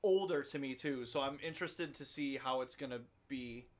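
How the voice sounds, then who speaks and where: A man's voice: mid-range voice, moderate pace. A man co-hosting a podcast in casual chat, eager and agreeable, jumping in with new ideas. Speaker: podcast co-host.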